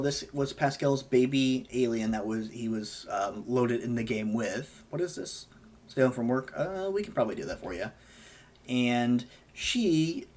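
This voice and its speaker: Male gaming host, casual rambling commentary, hoarse voice, lots of ums and restarts, mid pitch. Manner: rambling, many fillers and self-corrections. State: losing his voice. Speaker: gaming YouTuber.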